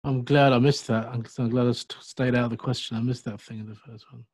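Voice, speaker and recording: Mid-pitched male quiz contestant. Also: flat delivery, quick pace, a little mellow, husky. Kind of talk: casual quiz answers and remarks. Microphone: video call.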